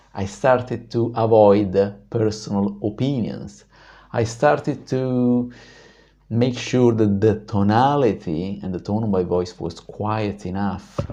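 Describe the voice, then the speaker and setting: A man with a deep baritone voice tells a personal story as a vlog host, reflective and full of pauses and fillers. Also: mellow.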